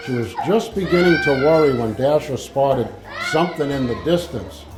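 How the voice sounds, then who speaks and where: A man reading a story aloud to children in a halting, informal manner. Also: mid-range voice, noisy background. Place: indoor library room with audience. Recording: echoey room, faint music.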